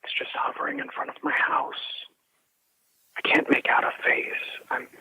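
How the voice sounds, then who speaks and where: An actor playing an anxious, apologetic researcher leaving an urgent voicemail in an audio drama, heard through a phone filter. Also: male voice, normal speed, pushed and bright, no echo, in a whisper. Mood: hushed, frightened. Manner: apologetic, hesitant, earnest. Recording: dull, dry telephone message sound.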